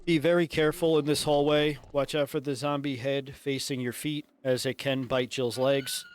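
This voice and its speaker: A man giving casual, instructive walkthrough commentary on a video game, his voice congested and raspy from a head cold. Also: medium pitch, little pitch movement, normal speed, slight background noise. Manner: casual, instructive, mildly profane. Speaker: gameplay commentator.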